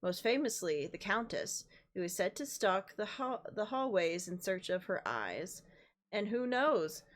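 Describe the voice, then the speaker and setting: A woman game streamer, hoarse and losing her voice, chatting casually and reading in-game notices aloud with frequent laughs and the odd swear. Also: mid-range voice.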